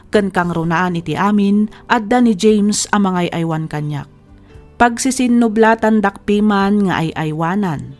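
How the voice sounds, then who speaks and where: A woman's voice: medium pitch, close to an everyday voice. A woman playing a reflective, grateful storyteller, narrating an audio drama in the first person. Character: reflective, grateful woman living with long illness. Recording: music bed under the voice.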